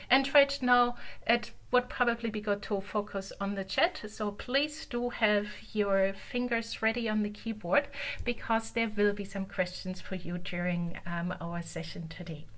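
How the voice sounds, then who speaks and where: A woman's voice: mid-range voice, fairly even intonation, moderate pace, fairly bright tone. A woman from Germany with a German accent, giving a conversational online conference presentation with frequent filler ums. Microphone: video call.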